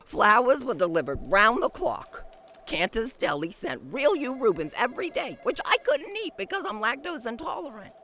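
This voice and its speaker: An actor playing a fussy, devoted, chatty office assistant in a comic mock-documentary. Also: male voice, very high-pitched, very animated, normal speed, pushed and bright, a little hiss or hum, full character voice. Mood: chatty, wistful.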